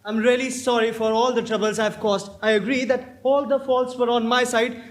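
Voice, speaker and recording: Man playing a high-voiced flippant young gentleman. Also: flat delivery, normal speed. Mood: contrite. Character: flippant, lovestruck young gentleman wooing a girl. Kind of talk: amateur stage comedy acting. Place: indoor theatre stage. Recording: echoey stage recording.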